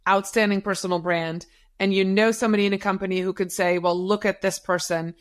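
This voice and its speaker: A middle-aged woman whose first language is Dutch, speaking English as a guest in an interview. She gives career advice in an emphatic, coaching way and repeats key phrases to drive them home. Her voice sits at an ordinary pitch for a woman. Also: steady tone, brisk. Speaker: guest, social media business owner. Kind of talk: advice-giving interview talk.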